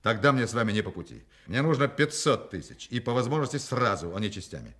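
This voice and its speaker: An actor playing a witty, self-assured con artist in a radio play, with an ironic, theatrical and commanding manner. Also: male voice, mid-range voice, very animated, fast talker, fairly bright tone. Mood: brisk, commanding. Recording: radio play with music behind.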